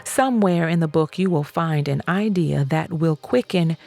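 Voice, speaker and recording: A woman reading an audiobook as a dramatic storyteller, deliberate and expressive in her scripted narration. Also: deep voice, gravelly, soft background music.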